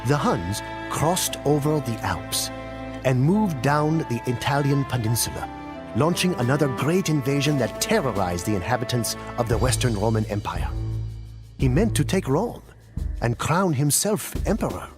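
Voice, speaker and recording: A man playing a grave storyteller in a game cutscene, narrating ancient wars in a solemn, theatrical, deliberate way. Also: medium pitch, big pitch swings, over music, lightly acted. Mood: grave, dramatic. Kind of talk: dramatic scripted storytelling narration.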